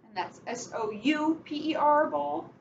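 A young adult woman reading a children's story aloud in an expressive, emphatic way, with casual asides. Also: high voice, a little slow, creaky. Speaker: police officer reading a picture book. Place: indoors in a catering cafe. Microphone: webcam a short distance away. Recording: muffled, noisy webcam room recording.

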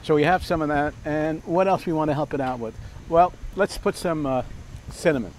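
A man's voice: a little high, moderate pace, darkish tone, slight background noise. Rambling older man cooking outdoors. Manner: rambling, chatty, full of fillers and restarts. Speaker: cooking show host. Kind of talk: casual cooking demonstration. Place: outdoor backyard by a fence. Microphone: lapel mic.

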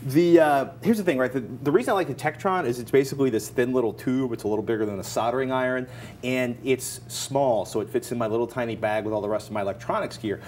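Animated American man presenting, medium pitch. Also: fast talker, slight background noise. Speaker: co-host of a tech show. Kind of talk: casual explaining and sponsor reads. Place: TV studio at a desk.

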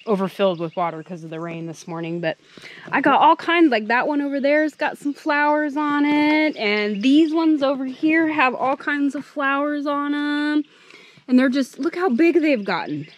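A woman in her thirties chatting casually outdoors in her garden, giving an enthusiastic update with many fillers. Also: high-pitched. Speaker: homesteading vlogger. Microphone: handheld camera at arm's length.

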